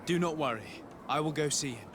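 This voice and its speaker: A man acts as a courteous noble envoy in a video game. His voice sits a little high and stays composed and diplomatic. Faint ambient noise lies behind it. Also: lively intonation, a little fast, natural voice. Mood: calm, polite. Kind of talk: video game cutscene dialogue.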